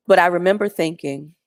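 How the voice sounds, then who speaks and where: Woman guest, lowish pitch, strong loud voice, lively chatty storyteller on a video call. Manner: chatty, animated, acts out quoted voices. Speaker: featured guest. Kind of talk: storytelling testimony.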